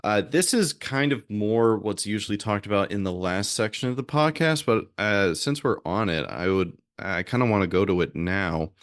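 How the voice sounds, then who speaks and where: A deep-voiced American man interviews a guest in a casual, extroverted way. He circles his questions, hesitating and rephrasing as he goes. The sound is very dry, with hardly any room on it. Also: moderate pace, hardly any pauses, smooth, dry recording. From southern United States. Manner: rambling, hesitant, self-correcting. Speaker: podcast host, music theorist. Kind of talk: conversational interviewing.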